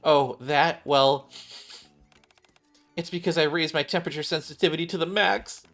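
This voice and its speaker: An eager young woman survivalist from a video game, read out by a man. The rookie is proud and quick to defend herself, talking on with chatty overconfidence. Music plays behind the voice. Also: a little high, fairly bright tone, voice pushed up. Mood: boastful, insistent.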